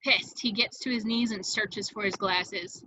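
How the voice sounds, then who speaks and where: A woman's voice: medium pitch, steady tone, a little slow, sharp tone, slight background noise, poor audio. A woman reading scripted stage directions as the narrator of a table read, in a vivid storytelling manner.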